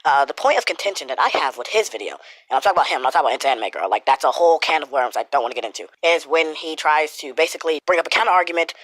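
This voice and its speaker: Fast-talking sick man, stuffy nose. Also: on the high side, expressive, a bit bright, dry recording. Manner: rambling, swears a lot, many fillers. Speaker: YouTuber commentator. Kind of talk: casual commentary rant.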